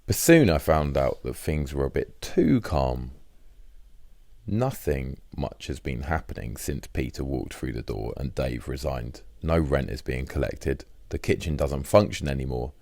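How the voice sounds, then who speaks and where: A man's voice: rumbling low, expressive, unhurried, creaky, a little mellow, no echo, clean studio sound. A man reading a first-person diary as scripted audiobook narration.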